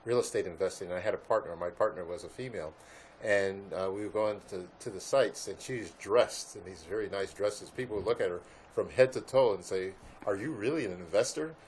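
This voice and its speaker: A man hosts a business showcase interview in a somewhat low voice. He talks haltingly, full of "uh" and "all right" asides. A faint hiss of background noise sits under him. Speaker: host.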